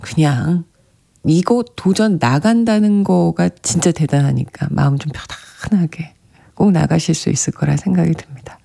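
A radio host with a soft voice that sometimes drops to a breathy whisper, chatting gently and reading listener messages on a classical music show. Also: medium pitch, moderate pace. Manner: gentle, chatty, often laughing softly.

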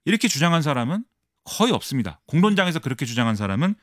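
A man giving fast, animated political analysis on a current-affairs radio show, explaining with many fillers. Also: on the high side, no room sound, studio quality. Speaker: political commentator.

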